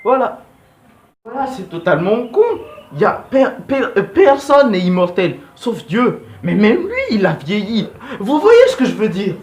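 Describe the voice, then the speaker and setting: A man's voice: high-pitched, sing-song, measured pace, a little mellow, reverberant. A young man giving casual, slangy video commentary in an informal, digressive way. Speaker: video host.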